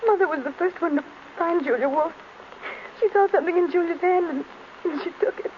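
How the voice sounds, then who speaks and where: An actress playing a timid, distraught young daughter with halting delivery in a 1930s radio drama. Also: female voice, very high voice. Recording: dull, noisy old radio recording.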